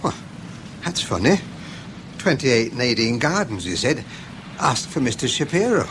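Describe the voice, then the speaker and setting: A man's voice: mid-range voice, big pitch swings, a little slow, background noise. A man playing a stubborn, grumbling northerner in a British radio drama, with a blunt Northern English accent. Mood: puzzled, stubborn.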